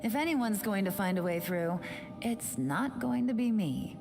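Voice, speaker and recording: A woman's voice: medium pitch, animated, unhurried, vocal fry, music in the background. A woman playing a cool, self-assured skeptic in a video game, dry and blunt in manner. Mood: skeptical, dismissive.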